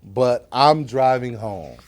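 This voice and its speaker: Man reading as kind male police officer, storybook dialogue, reassuring, friendly, lively pitch swings. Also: takes it slowly, a little hiss or hum.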